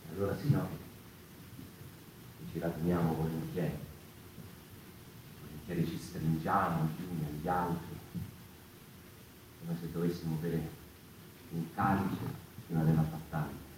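A man performing a halting, reflective theatrical monologue as a brooding voice of the mouse people. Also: a bass voice, flat delivery, a little mellow. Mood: rallying, reflective. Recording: echoey, distant, faintly noisy room recording.

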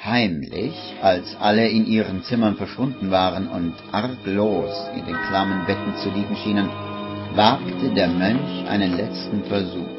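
A man acting as grave narrator of a dark tale in a 1950s radio drama, dramatic and suspenseful. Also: deep voice, measured pace. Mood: hushed suspense. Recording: muffled vintage radio recording with music.

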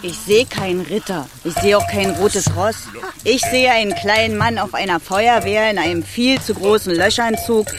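An actress playing a sober, sceptical commentator with a dry, matter-of-fact manner in a radio play. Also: female voice, mid-range voice, moderate pace, lightly acted. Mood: sceptical, mocking. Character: sceptical, sober female commentator puncturing heroics. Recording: music behind the voice.

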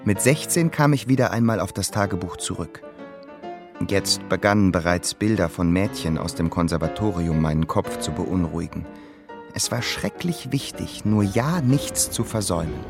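Reflective composer diarist, lowish pitch, measured dry observations, radio drama, clear music underneath. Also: male voice, animated. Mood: reminiscing. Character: reflective male composer reading his own diary. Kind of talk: radio play diary monologue.

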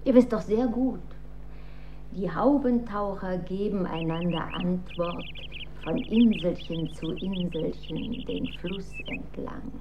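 An actress playing an imperious, manipulative dowager empress in a German radio drama, speaking in a formal, stately and measured manner. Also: female voice, lowish voice. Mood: stately, insistent. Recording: dull recording with faint noise.

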